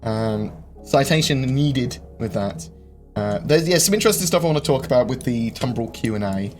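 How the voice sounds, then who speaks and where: A man hosting a live-stream Q&A, rambling through viewer questions with lots of ums and quick jokes. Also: mid-range voice, animated. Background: faint game music.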